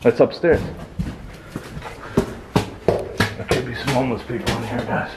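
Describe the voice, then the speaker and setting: A man vlogging as he explores a dark, abandoned building, talking casually to his viewers and calling out into the empty rooms. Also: fairly even intonation, a little slow, heavy background noise. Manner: talks low to viewers, calls out into the dark. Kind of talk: casual exploring narration to camera.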